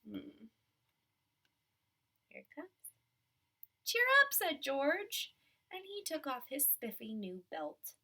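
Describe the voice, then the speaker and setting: Animated, high-voiced woman reading a storybook. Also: takes it slowly, long pauses. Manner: addresses young listeners, explaining and asking questions. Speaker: storybook reader. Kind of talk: expressive children's read-aloud.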